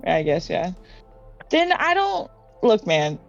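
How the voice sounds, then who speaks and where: A woman's voice: on the low side, huge pitch swings, slow pace, creaky. Woman chatting casually over music, slightly muffled. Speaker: tabletop game player. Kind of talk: casual improvised roleplay chat. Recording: slightly dull audio, music behind. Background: background music.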